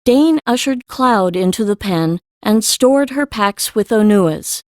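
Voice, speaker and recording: A woman's voice: medium pitch, lively intonation, takes it slowly, dry recording, studio quality. A woman reading scripted story narration for an audiobook.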